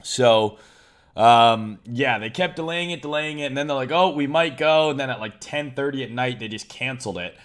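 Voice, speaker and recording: Hoarse young man, loud trading streamer. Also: medium pitch, normal speed. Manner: loud, excitable, swears casually. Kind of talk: casual live-stream chart commentary.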